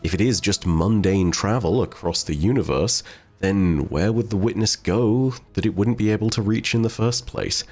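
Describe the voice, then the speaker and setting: A man with a very low voice talks through theories like the host of a video essay. His delivery is conversational and speculative, often posing questions as he explains. Soft music plays underneath. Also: normal speed. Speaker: host of a game lore channel. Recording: voice over background music.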